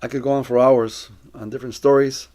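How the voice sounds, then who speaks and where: A middle-aged man from Colombia speaking as a missionary, giving a personal testimony in an earnest, reflective, deliberate way. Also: mid-range voice, level tone, dark tone.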